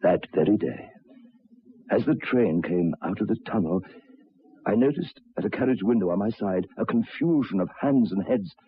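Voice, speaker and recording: An actor in an old radio drama playing a troubled railway signalman, speaking in a grave, deliberate, halting way. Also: lowish voice, lively intonation, breathy edge. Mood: haunted, grave. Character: haunted, troubled railway signalman telling of ghosts. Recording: dull lo-fi old radio recording.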